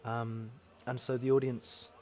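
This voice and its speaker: A male opera performer speaking appreciatively and in a measured way in a promotional interview, with classical music clearly behind him. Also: medium pitch, little pitch movement, darkish tone.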